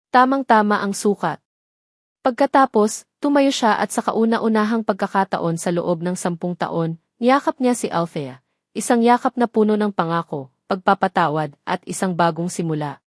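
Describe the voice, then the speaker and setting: A woman's voice: medium pitch, normal speed, no room sound. Filipino woman narrating, dry close recording. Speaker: audio story narrator. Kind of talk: scripted romance story narration.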